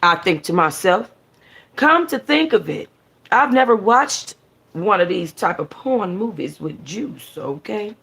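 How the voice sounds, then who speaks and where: A woman reading a novel aloud in an expressive, conversational, sassy way with casual slangy asides, as a book club host. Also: medium pitch, a little slow, vocal fry, slightly rough. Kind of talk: expressive first-person audiobook-style reading with casual asides.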